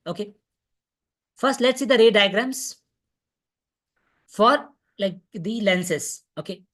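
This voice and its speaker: A woman's voice: mid-range voice, takes it slowly. Indian woman teaching an online class. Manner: repeats points, checks understanding with okay and right. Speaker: physics teacher. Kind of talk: online revision class lecture. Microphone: online video call class.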